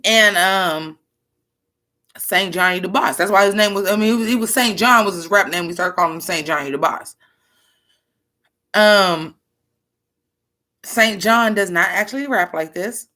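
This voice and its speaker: A woman rapper with a deep, bass-heavy voice, chatting loosely to her viewers as she reacts to her own old album. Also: a little slow, long pauses, sharp tone. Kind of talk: casual reaction commentary.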